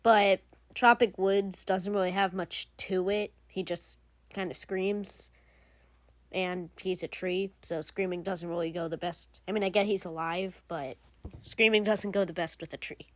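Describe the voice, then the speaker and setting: A woman's voice: fairly low pitch, fairly even intonation, measured pace, no room sound. A young woman hosting a gaming channel, giving casual, rambling game commentary full of fillers and restarts.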